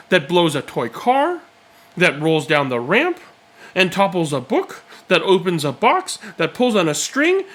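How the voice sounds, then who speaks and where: A man reading a children's picture book aloud, with expressive, deliberate and dramatic phrasing. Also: sits high. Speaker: read-aloud storyteller.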